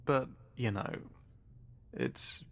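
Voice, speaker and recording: A man voicing a calm, coldly polite male scientist interrogator in a tabletop game, speaking softly with quiet menace. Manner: slow, soft-spoken, quietly menacing.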